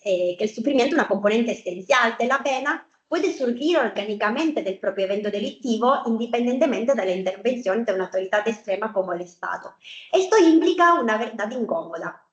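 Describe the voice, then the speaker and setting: A woman with an Italian accent delivers an academic talk in a non-native language. Her voice sits a little high and she leans on rhetorical questions and "eh" fillers. The sound is roomy and dull, as in a lo-fi lecture recording. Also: expressive, fairly quick. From Italy. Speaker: guest speaker. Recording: echoey room, muffled lo-fi sound.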